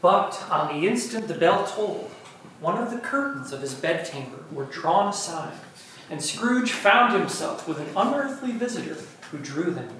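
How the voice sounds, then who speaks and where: A man's voice: high voice, very animated, normal speed, fairly bright tone. A man reading scripted narration for an amateur dramatic play reading. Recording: very echoey room, distant mic, faint noise.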